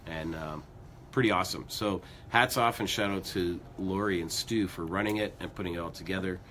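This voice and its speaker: Hoarse, low-voiced man chatting. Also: brisk, fairly bright tone, slight background noise. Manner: rambling, upbeat, lots of fillers. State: hoarse, losing his voice. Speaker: co-host of a music news show. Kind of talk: casual chat.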